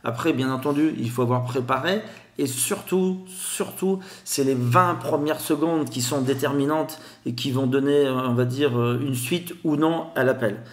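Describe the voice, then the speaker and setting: A man with a slight Grenoble accent speaks in a lively, sing-song manner as a podcast guest. His voice sits at an ordinary pitch. The room adds a clear echo around him. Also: moderate pace. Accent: Grenoble French. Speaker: guest, telemarketing expert. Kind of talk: podcast interview.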